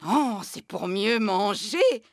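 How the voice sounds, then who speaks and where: A slow old granny wolf from a children's cartoon, kindly yet theatrically sly. Her voice sits much lower than the little ones', drawn out over music. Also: lively intonation. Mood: playfully menacing. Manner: drawn-out, theatrical, with knowing chuckles.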